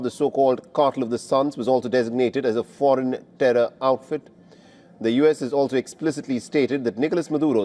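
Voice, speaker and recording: A man anchoring live breaking news, reading the background and then interviewing a guest in a measured, clear newsreader delivery. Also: medium pitch, darkish tone.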